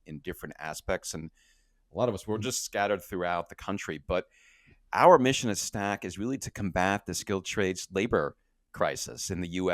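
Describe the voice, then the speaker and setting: Low-voiced man, dry close sound. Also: no room sound. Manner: energetic, repeats words when starting sentences. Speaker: podcast guest, company co-founder. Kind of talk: casual interview talk.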